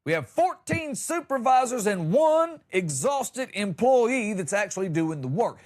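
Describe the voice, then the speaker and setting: A man delivering a comedic monologue as a podcast host, ranting in an exasperated, emphatic, sarcastic way. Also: on the high side.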